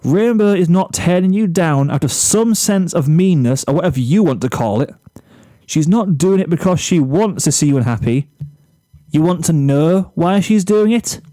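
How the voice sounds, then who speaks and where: A man reading a storybook character, an exasperated, blunt teenage boy who speaks firmly and deliberately. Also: fairly high pitch, expressive, warm tone, dry recording, voice pushed up, close to an everyday voice. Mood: angry, emphatic. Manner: firm, emphatic, deliberate.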